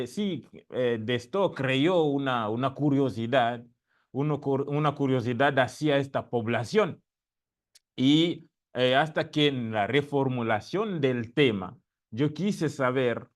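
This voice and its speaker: A man from the Democratic Republic of the Congo, a doctoral student, speaking on an academic panel in Spanish, his second language, with frequent fillers and restarts. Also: mid-range voice. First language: not Spanish; spoke Italian for years before. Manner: hesitant, many fillers and restarts. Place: lecture room at a university event.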